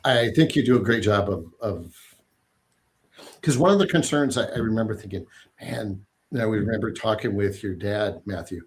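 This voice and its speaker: A man's voice: fairly low pitch, moderate pace. A man hosting a casual listening stream about his album, chatty and self-deprecating, laughing often. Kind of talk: casual chat.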